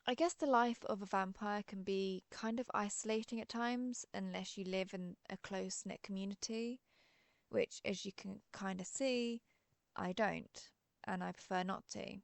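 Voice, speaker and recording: A woman's voice: medium pitch, fairly even intonation, normal speed, vocal fry, dry recording, close to an everyday voice. A woman playing a friendly, centuries-old female vampire who chats politely and wryly, as in a scripted roleplay interview. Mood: apologetic, reflective. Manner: genteel, conversational, apologetic, gently wry. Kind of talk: scripted roleplay audio, one side of an interview.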